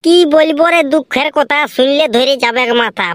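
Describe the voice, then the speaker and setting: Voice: high voice, moderate pace, fairly bright tone, slight background noise. A performer voicing a hot-tempered, self-pitying cartoon villager who complains and blusters in a rural Purulia accent. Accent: Purulia, rural western Bengal. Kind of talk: comedy cartoon dialogue in rural dialect.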